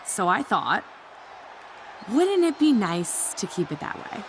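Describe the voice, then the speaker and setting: Smug, slow, swooping wrestling boss woman. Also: mid-range voice, very animated, a crowd in the background, background noise. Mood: gloating. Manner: taunting, theatrical. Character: smug, gloating, power-hungry female wrestling general manager. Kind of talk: wrestling promo in a video game.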